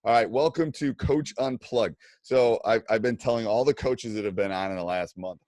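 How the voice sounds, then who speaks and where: A man's voice: fairly low pitch, normal speed, darkish tone, no echo. Middle-aged male coach as host, chatty and loose, dry close mic. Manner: rambling, lots of fillers and restarts. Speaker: basketball coach and podcast host. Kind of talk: casual video-call interview.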